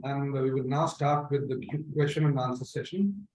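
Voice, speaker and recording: A man's voice: mid-range voice, moderate pace, a little mellow, poor audio. A man moderating a company conference call, making formal announcements and giving instructions to participants.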